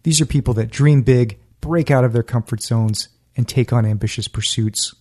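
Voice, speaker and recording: A male podcast host with an upbeat, friendly manner. He reads a scripted introduction, then moves to interview questions, with a voice of middling pitch. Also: moderate pace, a little mellow.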